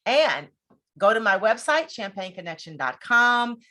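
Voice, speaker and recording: A woman's voice: on the high side, sing-song, measured pace, pushed and bright. An American woman hosting a podcast in a chatty, informal, conversational way. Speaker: podcast host.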